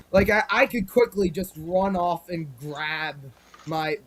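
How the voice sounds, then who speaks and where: A young man chatting on a fan podcast, rambling with lots of restarts. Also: high voice. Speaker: podcast co-host. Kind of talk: casual chat.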